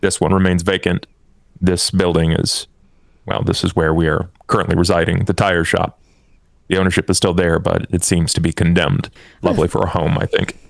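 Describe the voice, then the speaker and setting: Guy doing a formal vampire investigator for a tabletop game, deep n gravelly, very measured, dry close sound. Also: little pitch movement, no room sound. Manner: precise, formal, deliberate.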